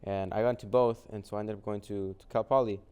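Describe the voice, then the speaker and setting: Young man, from Mexico, Spanish native, low-ish, mellow, smooth, relaxed with fillers. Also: quick pace. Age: young adult. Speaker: guest, vintage clothing reseller. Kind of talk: casual interview conversation.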